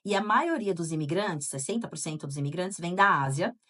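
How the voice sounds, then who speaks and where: A woman's voice: lowish voice, expressive, a little fast, sharp tone. Chatty Brazilian woman co-hosting a podcast. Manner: chatty, reads out listener answers, frequent tag questions. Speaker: podcast co-host. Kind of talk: casual podcast chat.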